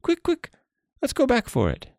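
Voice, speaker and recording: A man voicing a clever, excitable, sly monkey in a storybook tale, with exclamatory, old-fashioned phrasing. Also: very high voice, moderate pace, vocal fry, dry recording, studio quality, voice pushed up, heavily acted. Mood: alarmed, scheming. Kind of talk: storybook character voice.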